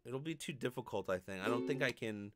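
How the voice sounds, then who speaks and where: A man's voice: mid-range voice, expressive, fast talker, fairly bright tone, no room sound. A man in his thirties chatting casually to viewers in a rambling, self-deprecating way with plenty of swearing, close on a studio mic in a bedroom. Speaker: vlogger and amateur ukulele player. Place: bedroom, indoors. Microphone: studio condenser mic up close.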